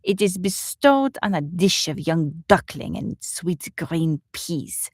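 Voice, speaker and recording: A woman's voice: lowish voice, animated, slow pace, husky, no room sound, heavily acted. A woman takes on a German waiter with a firm German accent and a deadly earnest, disgusted temper. He declaims his complaints emphatically, like a storybook character giving a lecture, recorded close and dry. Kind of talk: audiobook character voice.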